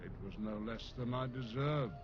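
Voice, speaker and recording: An actor playing a proud, weary armored bear in a fantasy video game, speaking gravely and with weight. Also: male voice, medium pitch, noisy background, close to an everyday voice. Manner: solemn, weighty, measured delivery. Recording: muffled game audio under loud music.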